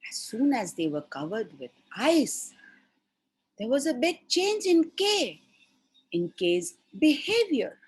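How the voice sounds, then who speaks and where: A woman telling a fairy tale to children in an expressive style, with deliberate, dramatic pauses between short phrases. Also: on the high side, breathy edge. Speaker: storyteller for children.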